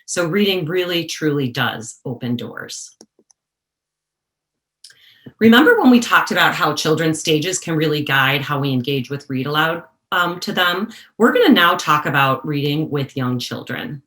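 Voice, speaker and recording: Woman workshop presenter, low register, deliberate explanatory delivery of prepared educational material. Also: expressive, fairly bright tone, reverberant.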